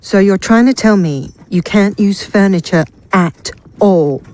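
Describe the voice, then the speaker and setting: A woman's voice: mid-range voice, measured pace, darkish tone, natural voice. A woman playing an inquisitive, stubborn warrior in a fantasy audio drama, questioning and confident. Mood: skeptical.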